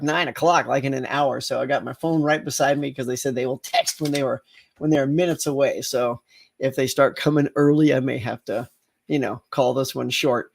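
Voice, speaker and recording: A middle-aged man hosting a casual live morning chat, slightly congested and rambling with fillers as he talks to viewers. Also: fairly high pitch. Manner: rambling, chatty, lots of fillers and restarts.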